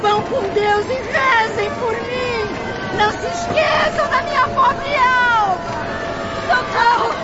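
Shrill wailing lost soul. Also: female voice, steady tone, takes it slowly, noisy background. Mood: desperate pleading. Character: desperate legless lost soul, wailing female beggar. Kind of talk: radio drama acting. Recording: muffled, loud music and crowd clamour.

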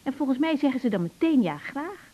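An actress playing an encouraging grown daughter in a Dutch radio drama, practical and conversational, with an accent from the Netherlands. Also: female voice, high voice, big pitch swings, a little fast, muffled recording. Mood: firm, encouraging. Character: brisk, encouraging grown daughter advising her mother. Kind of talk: naturalistic 1980s radio drama acting.

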